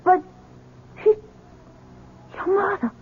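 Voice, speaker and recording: A high, shrill young wife cries out in panic and outrage. The audio is narrow and phone-like, like an old broadcast. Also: female voice, slow pace. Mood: frightened. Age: young adult. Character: frightened young bride, pretty, indignant. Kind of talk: 1970s radio drama acting.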